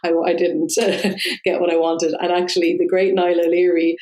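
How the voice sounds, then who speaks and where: A woman's voice: lowish voice, level tone, normal speed, mellow. A woman in her forties with a Dublin Irish accent, a guest chatting in an interview, laughing often and restarting her sentences. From Ireland. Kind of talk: conversational interview.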